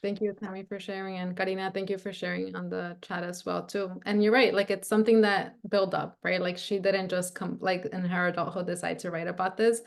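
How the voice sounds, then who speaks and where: A woman's voice: fairly low pitch, little pitch movement, fairly quick, gravelly, hardly any pauses. A woman leading a video-call teacher workshop, casual and chatty, often checking in with "right?". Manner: casual, frequent "like" and "right?" fillers. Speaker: workshop presenter.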